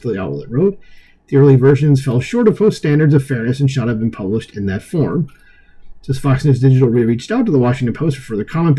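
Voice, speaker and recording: A man with a husky voice reading an article aloud as an online commentary host, dropping in opinionated, dismissive asides. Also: mid-range voice, warm tone.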